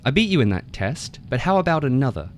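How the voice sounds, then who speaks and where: Man putting on a cocky little frog king, raised pitch. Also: sing-song, fast, natural voice. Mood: boastful challenge. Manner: smug, theatrical, bragging. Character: small cocky boastful male frog king. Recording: noticeable background noise.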